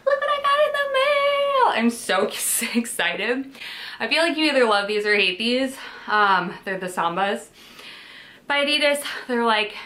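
Bright, bubbly young woman chatting at home. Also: on the high side. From western New York. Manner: bubbly and rambling, lots of "like" and "um". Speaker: vlogger. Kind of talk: casual chatty vlog. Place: kitchen and bedroom at home.

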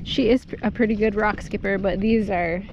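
A woman's voice: mid-range voice, fairly even intonation, moderate pace, highs cut off. A woman in her thirties chatting to a camera outdoors on a ranch, casual and self-deprecating while she works. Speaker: ranch vlogger. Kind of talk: casual vlog narration. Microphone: action camera on her person. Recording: outdoor wind and noise.

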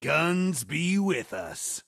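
An actor playing an excitable, slightly comic male soldier in a video game, delivering short callouts in a husky, theatrical voice. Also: on the high side, extremely slow, heavily acted. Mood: dramatic, comic.

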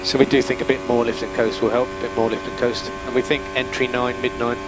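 Calm male race engineer over noisy radio. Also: medium pitch, quick pace. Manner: calm, methodical, repeats key calls. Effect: team radio transmission. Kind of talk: terse race radio instructions. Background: vehicle hum and noise.

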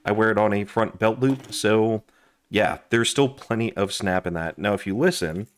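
Raspy, hoarse man reviewing gear. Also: on the low side, moderate pace. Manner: chatty, rambling, with frequent fillers and restarts. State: hoarse, losing his voice. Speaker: gear review channel host. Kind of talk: casual product review. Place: indoors at a desk. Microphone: overhead camera on desk, hands only.

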